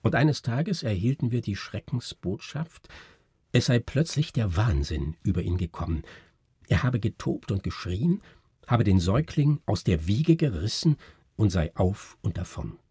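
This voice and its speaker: A man voicing a grave, educated doctor in a literary story, speaking in a measured, brooding, formal way. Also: fairly low pitch, lively intonation, warm tone, slightly rough, dry recording, heavily acted. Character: grave educated male doctor recounting a friend's ruin.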